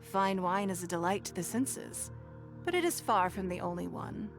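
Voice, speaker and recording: A woman's voice: medium pitch, expressive, normal speed, close to an everyday voice. A woman playing a dignified, gracious noblewoman leader in a video game, composed, eloquent and formal. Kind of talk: scripted video game character acting. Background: music.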